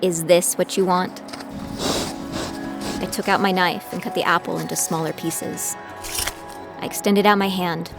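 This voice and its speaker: Gritty young female survivor, first-person audio drama narrator, slightly low register over prominent music. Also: steady tone, a little slow, gravelly, pauses often. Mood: reflective, measured. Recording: music bed behind the voice.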